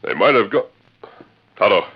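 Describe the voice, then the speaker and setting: An actor playing a heroic, steady masked western lawman with a commanding, measured delivery in 1940s radio drama style. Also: lowish voice, slightly rough. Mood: calm, deliberate. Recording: muffled, hissy 1940s radio recording.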